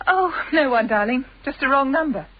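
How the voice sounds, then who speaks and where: An anxious, genteel British wife in a radio play. Her voice is high and very lively in pitch, careful and evasive, in a dull recording with music behind it. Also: normal speed, noisy background. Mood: flustered, evasive. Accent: British English. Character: polite, evasive, anxious middle-class wife. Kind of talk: radio drama acting. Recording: dull, band-limited radio drama sound.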